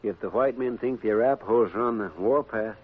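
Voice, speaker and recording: Radio western: worried, respectful frontier helper, raspy lowish voice, tinny vintage broadcast. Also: male voice, a little fast. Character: deferential, worried marshal's helper in the Old West. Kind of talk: 1950s radio western acting. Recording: tinny, narrow-band old radio recording.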